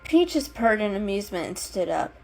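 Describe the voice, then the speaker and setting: A woman's voice: mid-range voice, very animated, normal speed, rough recording. A woman giving a dramatic storybook narration. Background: music.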